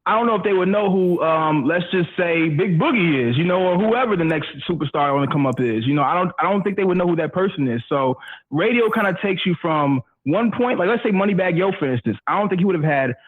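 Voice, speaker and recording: Middle aged dude guest on a podcast, bit raspy, highish voice, easygoing slangy radio guy. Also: expressive, fast talker, narrow, tinny audio. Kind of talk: casual conversational interview.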